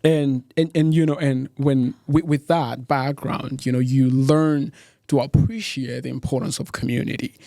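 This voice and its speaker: A young man from Tanzania, a podcast guest talking casually, full of restarts and quick laughs. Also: medium pitch, fairly even intonation, vocal fry, mellow, dry recording, studio quality. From East Africa, born in Tanzania. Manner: halting, many restarts and "like", laughs easily. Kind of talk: casual interview chat.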